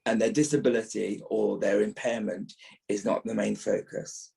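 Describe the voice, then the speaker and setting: Gravelly middle-aged man, emphatic and reflective. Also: lowish voice, fairly even intonation, normal speed, mellow. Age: 50s. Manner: emphatic, repeats phrases for stress. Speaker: actor, interview guest. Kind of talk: reflective interview talk.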